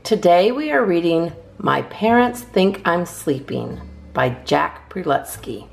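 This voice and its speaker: A woman's voice: on the low side, expressive, slow, vocal fry, faint music behind. A woman hosting a storytime, reading children's poems aloud expressively with theatrical emphasis. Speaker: storytime host reading a picture book.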